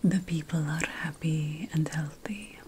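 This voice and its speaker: ASMR witch roleplay: woman, unvoiced breathy whisper, very unhurried, playful potion-master air, faint background noise. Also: deep voice, fairly even intonation. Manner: slow, deliberate, pausing between phrases.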